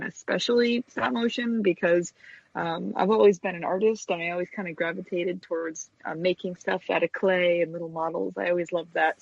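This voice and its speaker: A woman's voice: on the low side, gravelly, breathy edge, no room sound, lo-fi sound. A woman in her thirties chatting casually and enthusiastically as an interview guest. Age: 30s. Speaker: guest, stop-motion animator.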